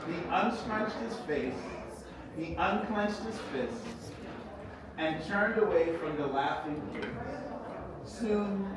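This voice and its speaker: A man reads a children's story aloud as its author, theatrically, with expressive delivery and dramatic pauses. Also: high-pitched. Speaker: author reading own book. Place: echoey indoor event space. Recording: echoey room, distant mic, noisy background.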